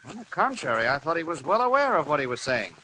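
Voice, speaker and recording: A steady insistent reporter from an old radio show, crisp forceful male voice, very animated, slight hiss. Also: on the high side, fast. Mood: brisk, resolute. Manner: firm, insistent, reasoning. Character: level-headed, determined male newspaper reporter. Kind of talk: 1940s radio drama acting. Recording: noisy old-time radio recording.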